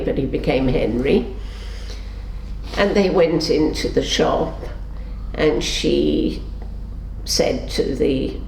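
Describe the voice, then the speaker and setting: An older woman recounting her memories in an oral history interview, voicing the people in her stories as she goes. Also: darkish tone. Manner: storytelling, acts out quotes with pitch shifts. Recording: roomy sound with faint background noise.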